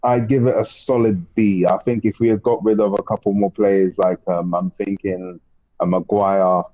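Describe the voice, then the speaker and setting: A man calling in to a football show, relaxed and hesitant with ums, giving his verdict on the transfer window. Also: on the low side, normal speed, lo-fi sound. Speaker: caller. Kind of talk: casual football chat.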